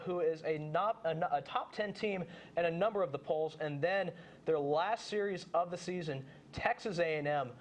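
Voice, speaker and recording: A young man hosting a sports show, giving preview commentary and now and then stumbling over his phrasing. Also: a little high. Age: young adult.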